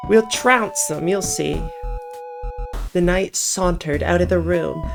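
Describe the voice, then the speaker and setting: A woman's voice: lowish voice, gravelly. A woman reading a visual novel aloud as narrator, with casual asides.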